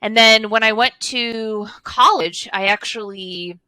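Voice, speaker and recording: A woman's voice: a little high, measured pace, sharp tone, clean tone, no echo, rough recording. A woman, telling her story as a guest over a headset video call, earnest and fluent with frequent fillers. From Chicago, USA. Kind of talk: casual interview storytelling. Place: home office with blinds, indoors.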